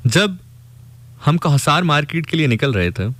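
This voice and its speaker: A man's voice: a little high, very animated, normal speed, fairly bright tone. A man with a Pakistani accent, a radio host telling a story conversationally and reflectively, dropping in English phrases.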